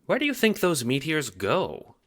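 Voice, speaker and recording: Man voicing a fussy, motherly little alien lady, pitched up. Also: wildly swooping pitch, moderate pace, fairly bright tone. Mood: fretful. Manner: prim, old-fashioned polite, emphatic. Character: fussy, motherly small female carapacian attendant, bossy. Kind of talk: audio drama character acting.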